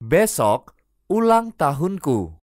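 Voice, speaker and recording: A woman reading short phrases slowly and clearly, each repeated for language learners. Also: low-pitched, sing-song, darkish tone, no echo, studio quality. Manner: careful, clearly enunciated. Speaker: native Indonesian speaker reading phrases.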